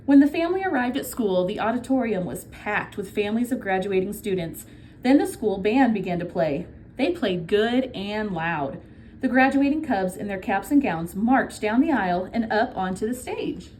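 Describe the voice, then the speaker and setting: A woman's voice: medium pitch, lively intonation, moderate pace, darkish tone, a little hiss or hum. A woman reading a children's book aloud like a teacher, explaining simply and prompting her listeners with questions.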